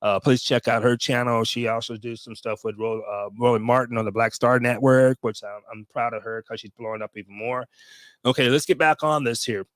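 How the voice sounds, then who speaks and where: Man, late 50s, relaxed veteran vlogger, meandering delivery with fillers, mid pitch, dry close sound. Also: fairly quick, no room sound. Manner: rambling, lots of fillers and restarts. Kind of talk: casual talk to camera.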